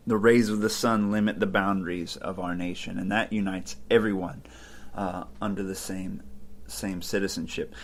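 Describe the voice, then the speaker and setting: A man hosts a philosophy podcast in a low, easygoing voice. He thinks out loud as he goes, with plenty of "uh"s and false starts, and keeps his tone conversational rather than preachy. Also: slight background noise. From Ohio, United States. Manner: relaxed, thinking aloud with frequent fillers and restarts. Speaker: podcast host. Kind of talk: casual podcast commentary on philosophy.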